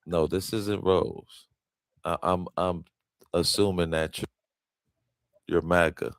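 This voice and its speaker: A man with a very deep, gravelly voice hosts a live open panel. He questions callers in a laid-back way. His voice is close and dry. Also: steady tone, slow, no room sound. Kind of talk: casual live panel talk.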